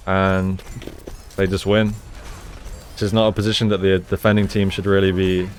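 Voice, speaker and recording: A man giving gameplay analysis commentary as a coach, explaining in a measured way and repeating phrases for emphasis. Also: low-pitched, level tone, faint music behind. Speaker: esports coach, video host.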